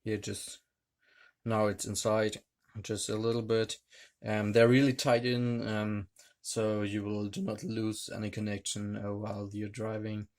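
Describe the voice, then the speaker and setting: A man reviewing a gadget hands-on, talking in a hesitant, halting way with many fillers. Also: fairly low pitch, level tone, a little mellow. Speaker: tech reviewer. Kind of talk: hands-on product demonstration.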